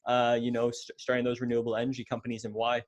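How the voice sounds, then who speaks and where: A young man hosts a business podcast in a casual, eager voice at an ordinary mid pitch. He stumbles often, repeating words and filling gaps with "like" and "uh" as he talks into a podcast mic. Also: monotone, quick pace. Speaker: podcast host. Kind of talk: casual interview hosting. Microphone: podcast microphone in frame.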